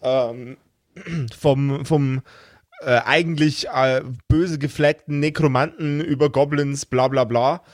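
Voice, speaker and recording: A man chatting casually on a podcast, often repeating words haltingly as he thinks. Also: mid-range voice, fairly even intonation, dry recording, clean studio sound. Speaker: podcast regular. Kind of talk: casual chat.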